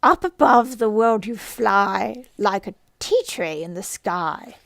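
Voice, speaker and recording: A woman's voice: mid-range voice, lively intonation, takes it slowly, creaky, a bit bright, dry recording, heavily acted. A woman voicing an eccentric, huffy and mournful male hatter from a storybook, in a declamatory, exaggerated way. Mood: mournful, theatrical. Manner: declamatory, contemptuous, exaggerated swings. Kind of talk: storybook character voice.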